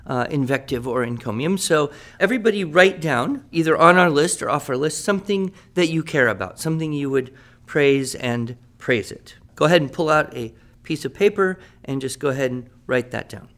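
A man playing a patient writing teacher who gives clear, encouraging instructions. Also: on the high side, lively intonation, moderate pace, fairly bright tone. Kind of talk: amateur radio drama acting.

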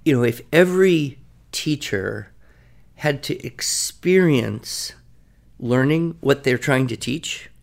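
Guy cohosting a podcast, a bit of vocal fry, telling teaching stories thoughtfully. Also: medium pitch, animated, slow pace. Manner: storytelling, reflective. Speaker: podcast co-host. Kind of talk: casual podcast discussion.

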